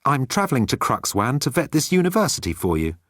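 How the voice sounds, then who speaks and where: A restless, fussy father, voiced by a male narrator in a comic space audiobook. His voice sits higher and sounds eager, with a dry, close recording. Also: sing-song, a little fast, a bit bright, no echo. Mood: brisk, reassuring. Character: restless fussy human father seeking escape.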